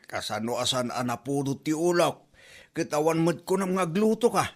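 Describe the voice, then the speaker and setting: A man's voice: a little high, normal speed, close to an everyday voice. A man playing a scolding, blunt older father and grandfather in an Ilocano comedy drama, lecturing in a Filipino Ilocano accent. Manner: nagging, lecturing.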